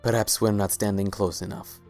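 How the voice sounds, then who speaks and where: Man as suave, low-voiced regal sultan. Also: level tone, darkish tone, soft background music, close to an everyday voice. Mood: amused, charming. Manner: measured, formal, polite. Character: suave, regal, courtly male alicorn sultan. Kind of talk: fan-fiction dramatic reading.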